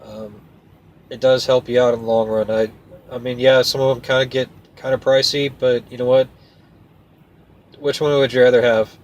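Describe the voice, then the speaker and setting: A man's voice: medium pitch, normal speed, long pauses. A man talking casually to viewers as a trucker vlogger, in a plainspoken, folksy, hesitant way. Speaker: trucker and video host. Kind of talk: casual rambling vlog talk to viewers. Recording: clear steady background noise.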